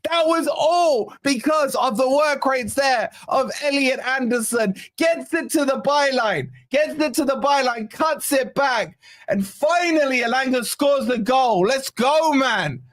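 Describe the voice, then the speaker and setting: Hoarse male fan host, high-pitched, excitable partisan live commentary, dry close mic. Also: a little slow, dry recording. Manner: excitable, swearing, partisan play-by-play. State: hoarse, losing his voice. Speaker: fan channel watch-along host.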